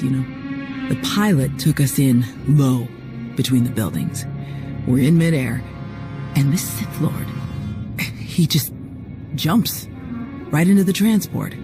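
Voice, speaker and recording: A woman's voice: a bass voice, a little slow, pauses often. Tough, blunt female security chief. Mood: somber, bitter. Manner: blunt, wry, clipped. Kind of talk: video game cutscene acting. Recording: music under the voice.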